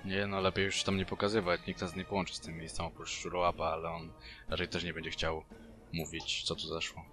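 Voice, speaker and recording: A man's voice: low voice, level tone, fairly quick. A man speaking as a player in a tabletop role-playing game, talking through his character's actions. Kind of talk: in-game conversation. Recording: clear background music.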